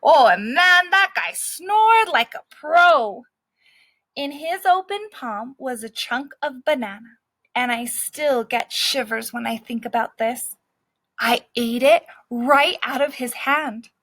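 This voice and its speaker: A woman's voice: sits high, animated, slow, a bit bright, dry recording, heavily acted. A woman voicing a scrappy, streetwise male dog who narrates his own story in a brash, cocky way.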